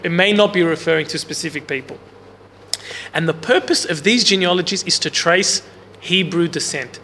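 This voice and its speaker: A man with a loud, bright, slightly high voice teaches a Bible class in a big room, with no microphone. He explains casually and keeps putting questions to the group. The recording carries a long hall reverb and a faint hiss underneath. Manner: loud voice, speaks without a mic. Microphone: no mic on the speaker, recorder in the room.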